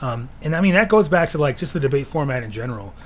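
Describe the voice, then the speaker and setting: Raspy guy hosting a live podcast, rambly, lots of ums, slight hiss in the background. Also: medium pitch, animated, fast. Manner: rambling, thinking aloud with fillers. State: recovering from throat surgery. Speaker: podcast host. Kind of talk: casual livestream chat.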